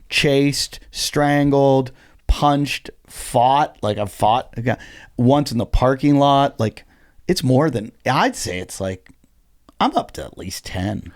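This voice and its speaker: A middle-aged man, a stand-up comedian, telling stories in a casual podcast chat, animated and swearing freely. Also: mid-range voice, takes it slowly. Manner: animated storytelling, heavy swearing.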